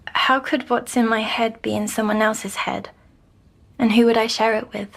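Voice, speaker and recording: A woman's voice: a little high, flat delivery, moderate pace, creaky. An actress playing a timid, troubled young girl in an audio drama, speaking in a hesitant, childlike way. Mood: hesitant, curious.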